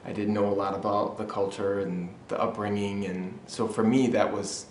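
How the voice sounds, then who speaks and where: Low, flat-voiced man in an echoey room. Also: moderate pace, slight background noise. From Michigan, USA. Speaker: husband sharing a church testimony. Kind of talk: personal testimony, interview-style.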